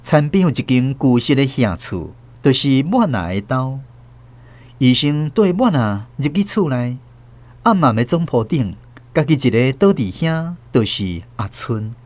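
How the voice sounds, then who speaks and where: A man narrating a radio drama as host, with expressive storytelling. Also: medium pitch.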